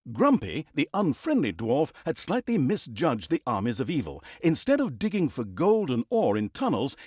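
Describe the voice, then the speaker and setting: A theatrical male storybook narrator for a fantasy video game, sardonic and mock-grand. His voice sits a little high for a man and swoops dramatically across a very wide pitch range. The sound is close and dry. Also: no echo. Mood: mock-grand, gloating. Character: wry, theatrical male storybook narrator mocking heroes and villains.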